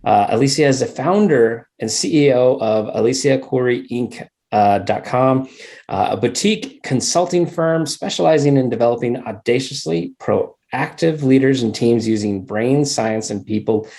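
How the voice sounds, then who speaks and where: A man's voice: mid-range voice, moderate pace, vocal fry, dark tone. A man co-hosting a podcast, introducing a guest with stumbling asides and asking questions. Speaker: podcast co-host. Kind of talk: podcast interview.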